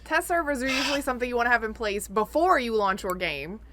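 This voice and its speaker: A woman's voice: on the high side, animated, quick pace, a crowd in the background. A woman co-hosting a casual video game podcast, joking and sardonic, often slipping into imagined quotes. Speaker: podcast co-host. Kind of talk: casual chat about video game news.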